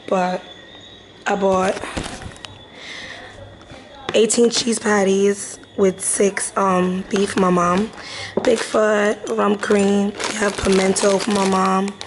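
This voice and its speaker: A woman's voice: medium pitch, flat delivery, slow, pauses often. Chatty New woman, noisy room. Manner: rambling, slangy, with many fillers. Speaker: vlogger. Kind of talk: casual vlog chat to camera. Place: home while traveling. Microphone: phone or camera mic with ring light. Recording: noisy ambient background.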